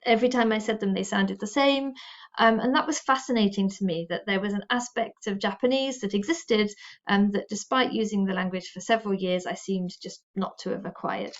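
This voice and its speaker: A woman from the United Kingdom, a university lecturer explaining her research in a measured, explanatory way. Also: medium pitch. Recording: slightly dull recording.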